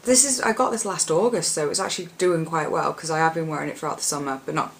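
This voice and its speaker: A young woman with a husky voice, chatting casually about her favourite products in a rambling, filler-heavy way. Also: low-pitched, fast talker. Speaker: beauty vlogger. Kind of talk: casual product chat to camera.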